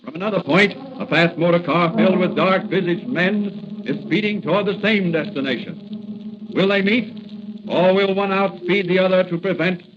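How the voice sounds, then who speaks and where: An actor as the narrator of an adventure serial, telling the story with emphatic, suspenseful drama in 1940s radio style. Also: male voice, high voice, normal speed, close to an everyday voice. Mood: urgent suspense. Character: dramatic male announcer of a thrilling adventure serial. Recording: muffled, noisy vintage radio recording.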